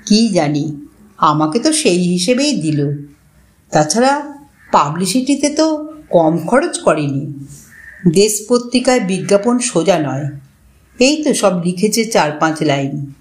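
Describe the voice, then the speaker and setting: A woman's voice: lowish voice, sing-song, takes it slowly, pauses often. A woman voicing a proud, defensive young male novelist in a storybook, restrained and a little touchy. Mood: stiff, grave. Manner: restrained, grave, slightly touchy. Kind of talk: storybook dialogue.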